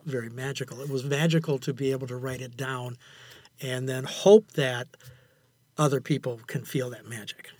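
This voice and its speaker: An older man speaking as an interview guest in a reflective, conversational way, haltingly and often repeating phrases for emphasis. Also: medium pitch, fairly even intonation, darkish tone, no echo. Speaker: interview guest, amateur writer.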